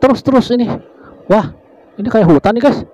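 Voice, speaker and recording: A man's voice: very high-pitched, lively intonation, fairly quick, dark tone, dull audio. A man from Indonesia narrating a motorbike ride through village roads in casual, chatty fashion, constantly addressing his viewers and wondering aloud about the way ahead. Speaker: motovlogger.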